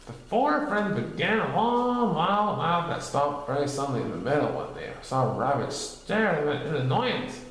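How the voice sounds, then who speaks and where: Male children's book reader, lively swooping intonation, narrative style, very reverberant room sound. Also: a little high, normal speed, few pauses, slight background noise. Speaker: storybook reader. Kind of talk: animated children's story reading.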